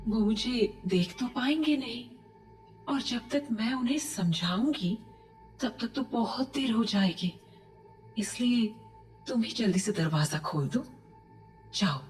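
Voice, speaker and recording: A woman playing a dead mother's ghost in a horror audio drama, gently coaxing and pleading with her young son. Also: fairly high pitch, lively intonation, recorded from a distance, faint music behind, rough recording. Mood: urgent, pleading. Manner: gentle, slow, pleading persuasion.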